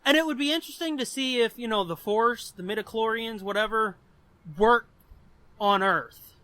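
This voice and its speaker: A man with a notably high, bright voice talks casually as a podcast host. He is blunt and freely profane, and the recording is dry and close, without room sound. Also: normal speed, no room sound. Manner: profane, blunt, animated explaining. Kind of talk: casual chat.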